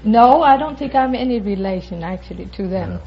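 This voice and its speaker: A woman from Iceland answering a quiz host in a soft-spoken, matter-of-fact way. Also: mid-range voice, expressive, brisk, slightly rough. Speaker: quiz show contestant. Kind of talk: interview answers. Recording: muffled old broadcast.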